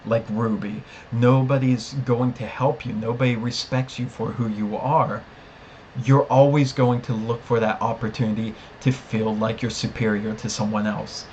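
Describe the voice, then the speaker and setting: A man's voice: mid-range voice, little pitch movement, normal speed, a little mellow, dull audio. Earnest man in a noisy recording. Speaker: podcast co-host. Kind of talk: discussion of a TV episode.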